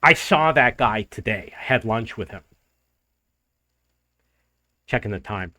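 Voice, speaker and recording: An older man, host of his own travel channel, telling a rambling personal story to the camera in a loud voice. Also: on the low side, expressive, a little slow, dry recording, studio quality. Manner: rambling, restarts and loops back in the story. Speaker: vlogger living abroad.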